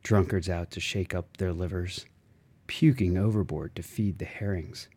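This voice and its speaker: A man reading a literary novel aloud in a measured, expressive way with dramatic phrasing. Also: deep voice, husky. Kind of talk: literary audiobook narration.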